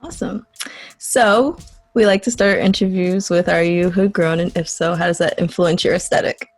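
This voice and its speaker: A woman's voice: fairly low pitch, steady tone, normal speed, dry recording, faint music behind. Chatty woman interviewer, dry sound. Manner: friendly, chatty, uses slang. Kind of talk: casual interview.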